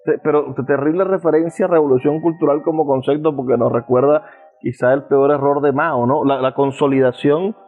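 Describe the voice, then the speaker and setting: Venezuelan radio host on a thin line. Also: male voice, fairly high pitch, fairly even intonation, fairly quick. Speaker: radio show host and interviewer. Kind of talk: radio interview with an eloquent introduction. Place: balcony of a house. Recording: phone-like thin sound, faint music behind.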